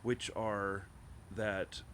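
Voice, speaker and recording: A man speaks in a low voice that is slightly stuffed up with a cold. He talks casually to his viewers as a show host, thinking aloud with halting, emphatic phrasing. Faint noise sits behind him. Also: fairly even intonation, a bit bright. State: has a head cold, clearing throat. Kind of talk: casual opinion monologue to viewers.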